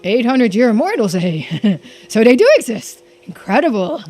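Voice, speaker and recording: A woman voicing an excitable, scheming young treasure hunter from a video game, theatrical, wordy and boastful. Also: on the high side, moderate pace, soft background music. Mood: delighted, eager.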